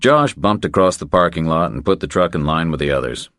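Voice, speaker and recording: A man with an extremely low voice narrates a crime thriller audiobook. His delivery is measured and deliberate, and the sound is dry and close, free of room echo. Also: animated, fairly bright tone.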